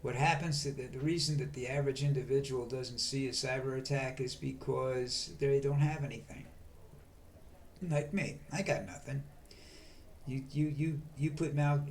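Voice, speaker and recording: An older man talking on in a slow, monotone ramble, stumbling over restarts and fillers. His pitch sits in an ordinary middle range, and a faint hiss of noise runs under the recording. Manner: halting, many fillers and restarts. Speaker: vlog host. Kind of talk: rambling monologue.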